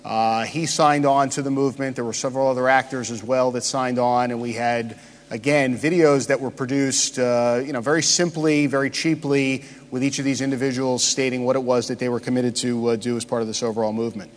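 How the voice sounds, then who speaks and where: A man speaks at a conference, his mid-range voice trailing into long hall reverb. He pauses often and stumbles through fillers as he walks the audience through slides. The sound carries the wash of a big room. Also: mid-range voice, fairly even intonation. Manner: halting, many "uh" fillers. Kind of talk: conference presentation.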